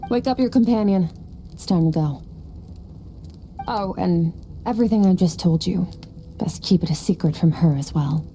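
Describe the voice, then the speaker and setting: An actress in a video game playing an aloof, coolly commanding woman warrior mentor, detached and measured. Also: fairly low pitch, warm tone, a little hiss or hum, natural voice. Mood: cold, disdainful.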